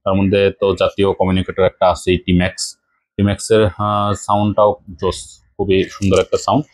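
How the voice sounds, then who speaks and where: Male motovlogger, Bangladeshi accent, on the deeper side, informal review delivery, very dry recording. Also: completely flat delivery, fast talker, no room sound. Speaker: motorcycle vlogger. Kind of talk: casual product review and vlog talk.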